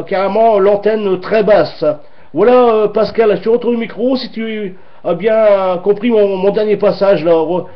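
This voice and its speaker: A man's voice: high voice, dull audio. A man chatting as a radio operator, hesitant and often repeating his phrases, with call signs. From France.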